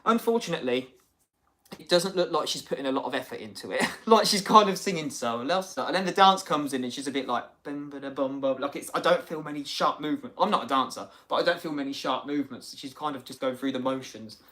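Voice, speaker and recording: A man's voice: mid-range voice, lively intonation, fast, bright, forceful tone. Male streamer, chatty and rambling, reads viewer comments, casual host. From United Kingdom. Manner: chatty, rambling, many fillers and restarts. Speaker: livestream host.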